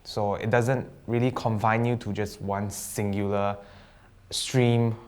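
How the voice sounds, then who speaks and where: A young man from Sabah, a student guest chatting casually on a live stream, relaxed and jokey with slang and hesitant fillers. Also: fairly low pitch, slow pace. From Sabah, East Malaysia. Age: young adult.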